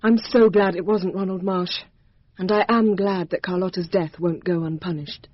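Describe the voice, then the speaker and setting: A woman's voice: fairly low pitch, fairly even intonation, moderate pace, no room sound, lightly acted. An actress playing a self-possessed young Englishwoman, assured in a radio drama. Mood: earnest. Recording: dull, muffled radio drama recording.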